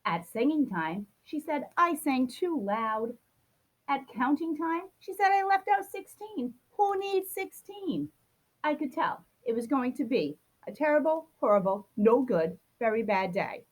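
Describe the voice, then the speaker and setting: A woman's voice: high voice, animated, measured pace, low-quality recording. A woman reading a children's picture book aloud, expressively acting out a grumpy child's complaints. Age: adult. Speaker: storybook reader.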